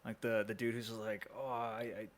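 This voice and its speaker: A man's voice: lowish voice, flat delivery, a little fast. A man chatting casually as a podcast panelist, explaining his view with everyday acted-out examples.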